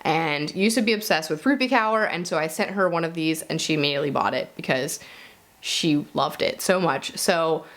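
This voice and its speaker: A woman's voice: low-pitched, moderate pace, fairly bright tone. A woman talking casually and informally to viewers as a reviewer, peppering her speech with "like". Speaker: book reviewer and video host. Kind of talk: casual monthly reading wrap-up to viewers.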